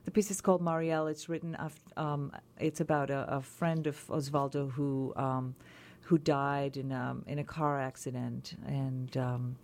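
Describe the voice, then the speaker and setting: A woman cellist as a radio interview guest, talking thoughtfully with many pauses and restarts. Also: extremely low pitch, fairly even intonation, darkish tone. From Israel.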